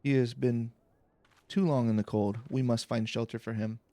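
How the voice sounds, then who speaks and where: A man voicing a grave, formal, steadfast warrior from a fantasy game in measured speech. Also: medium pitch, steady tone, warm tone, no echo, voice pushed down, close to an everyday voice. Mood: solemn, resolute. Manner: measured, formal, archaic phrasing.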